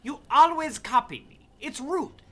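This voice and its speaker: An actor playing a fussy, vain vampire's servant in a comedic audio drama, theatrical and scolding. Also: male voice, very high voice, animated, a little slow, sharp tone, heavily acted. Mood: haughty.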